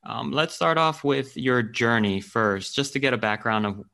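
A man's voice: medium pitch, expressive, normal speed, vocal fry, bright, forceful tone, no echo, studio quality. A young man hosting a podcast, talking in an easygoing way with frequent restarts. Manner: easygoing, repeats words and restarts. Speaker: podcast host. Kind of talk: casual podcast chat.

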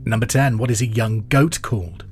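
A middle-aged man hosting a quiz podcast, reading out scripted trivia in a measured announcer's manner. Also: on the low side, soft background music.

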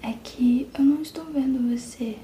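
A woman's voice: high-pitched, normal speed, mellow, echoey room, slight background noise, close to an everyday voice. A woman whispering the lines of a worried young boy on the run in a storybook reading.

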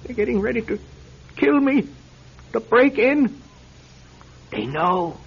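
High-voiced, frightened old recluse. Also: male voice, big pitch swings, vocal fry, breathy edge, heavily acted. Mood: paranoid muttering. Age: older. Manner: agitated, halting, stammering, repeating himself. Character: fearful, paranoid old recluse man, pleading and suspicious. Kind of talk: old-style radio drama acting. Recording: muffled radio drama recording, faint noise.

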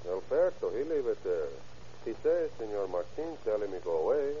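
An actor playing an evasive Mexican husband and father in halting, accented English, in old-time radio drama style. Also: lowish voice, sing-song, mellow, a little hiss or hum. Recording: muffled vintage radio recording.

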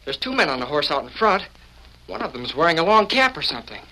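An excitable boy sidekick from a vintage radio Western. The voice is high and bright, swinging widely in pitch. He sounds eager. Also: fast, full character voice, low-quality recording. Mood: excited. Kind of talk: 1940s radio Western acting.

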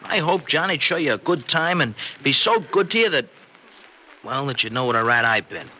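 A man's voice: mid-range voice, expressive, normal speed, bright, forceful tone. An actor playing a breezy, good-hearted young man in an old-time radio drama, with a slangy, emphatic, boyish delivery. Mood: earnest, reassuring. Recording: noisy old radio recording.

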